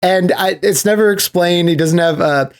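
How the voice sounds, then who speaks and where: A man hosting a podcast in casual chat, telling stories in an animated, sweary way with laughter mixed in. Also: high voice, moderate pace, smooth. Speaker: podcast host.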